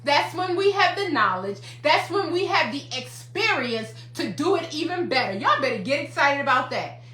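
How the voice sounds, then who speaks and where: Emphatic fifties woman, lively bright voice. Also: high voice, normal speed, roomy sound. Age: 50s. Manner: emphatic, repetitive, preacherly. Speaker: counselor and life coach. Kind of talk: motivational livestream talk.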